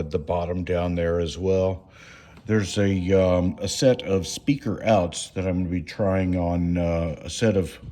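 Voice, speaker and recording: A man giving a casual, unscripted hands-on walkthrough at home, speaking haltingly with frequent pauses mid-sentence. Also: low voice, dark tone. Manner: halting, hesitant, pausing often mid-sentence. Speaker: home DIY reviewer. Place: indoors at home.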